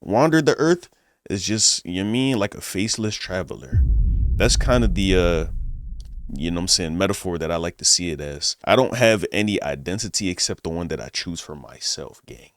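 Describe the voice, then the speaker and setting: Low-voiced man giving laid-back commentary. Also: lively intonation, normal speed, no room sound, studio quality. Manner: laid-back slang, says "bro" and "gang" often; encouraging, motivational. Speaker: reaction video host. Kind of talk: casual commentary.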